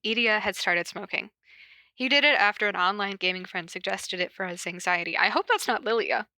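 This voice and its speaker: A woman with a bright, forward voice and a close, dry sound. She talks informally as a podcast host, moving between casual story reading and chat, with a giggly, self-interrupting manner. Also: medium pitch, a little fast, dry recording. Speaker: podcast host reading fan fiction aloud.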